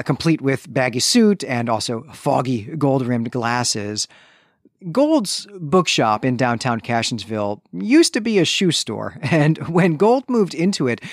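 A man's voice: mid-range voice, no echo, clean studio sound. A man hosting a podcast, giving a conversational, bookish literary recap with wry asides. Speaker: podcast host.

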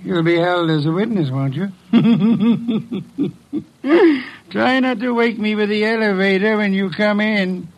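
An actor playing a grumpy, haughty detective genius, pompous and curt, in old-time radio drama style. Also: male voice, high voice, expressive, slow, pauses often, exaggerated voice. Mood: haughty, unruffled. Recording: noisy old radio recording.

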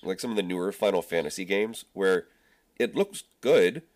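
Husky stuffed-up man with a very low voice. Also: lively intonation, moderate pace. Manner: rambling, many fillers and restarts. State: stuffed up with a cold. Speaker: podcast co-host. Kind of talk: casual movie review chat.

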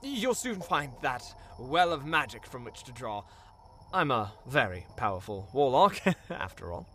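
An actor playing a bumbling, self-important warlock in a comic fantasy audio drama, nervous and hesitant, stammering. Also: male voice, mid-range voice, sing-song, unhurried, bright, forceful tone, slight background noise. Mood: nervous boasting.

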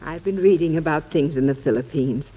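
An actress playing a gentle, adoring wife of a president in an old radio drama, her voice soft and breathy. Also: low voice, brisk. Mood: tender, admiring. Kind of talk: 1940s radio drama acting. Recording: muffled old radio recording.

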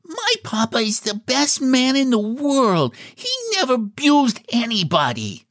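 A prim little storybook girl, played by a male narrator, dignified and wary. The voice is high and very lively in pitch, and the words come slowly and earnestly. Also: no room sound, studio quality, exaggerated voice. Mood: indignant. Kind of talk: storybook character voice.

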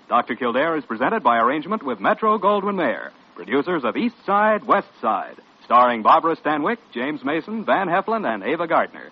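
A man's voice: a little high, slightly rough. A man with an American accent, a formal, declamatory 1940s radio announcer reading from a script. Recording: dull old radio recording. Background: music.

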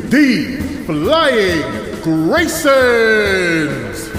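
An actor playing a male circus ringmaster in an audio drama, with a booming announcer delivery. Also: very animated, extremely slow, a bit bright, roomy sound, long reverb tail, soft background music, heavily acted. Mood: announcing.

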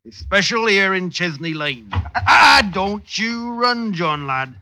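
Slow, sly old-time constable. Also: male voice, high voice, big pitch swings, pushed and bright, no echo, full character voice. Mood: sly, insinuating. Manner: slow, insinuating, hesitant. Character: sly, slow-spoken English street constable of the 1720s. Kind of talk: 1950s radio drama acting. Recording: dull old radio recording.

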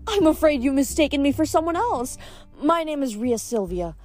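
High-pitched female, sweet ancient goddess played by a woman reader, gushing, giggly, breezy, theatrical, storybook character. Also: very animated, normal speed, a little mellow, soft background music. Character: sweet, breezy, fawning ancient goddess, youthful pretty lady.

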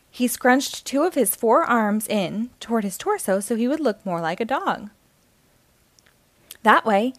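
A woman narrates a children's story in a fairly high voice with very lively, swooping intonation. She reads expressively, as a storyteller for young listeners, and the sound is dry and close. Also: no room sound. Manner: animated, acting out dialogue. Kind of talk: expressive read-aloud storytelling.

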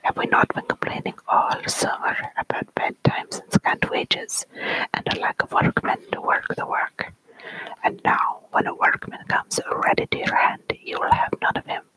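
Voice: normal speed, pushed and bright, dry recording, close to an everyday voice, low-quality recording. A storyteller whispering the part of a stern, sensible old village granny who scolds with folksy sayings. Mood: scolding.

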